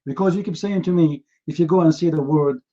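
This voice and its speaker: High-voiced combative man, Arabic native. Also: quick pace, mellow. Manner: combative, mocking, repeats demands. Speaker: debate host. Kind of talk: heated live online debate. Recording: dull lo-fi stream audio.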